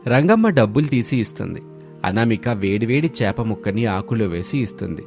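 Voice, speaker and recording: A man's voice: lowish voice, very animated, normal speed, creaky, a little mellow. A man reading scripted narration for a moral folk story. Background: music.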